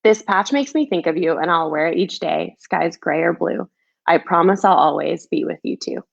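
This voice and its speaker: A woman's voice: on the low side, normal speed, gravelly, muffled recording. A woman who is a children's book author, speaking in a storybook read-aloud or chatty setting. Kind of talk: storybook read-aloud, rhyming, then casual chat.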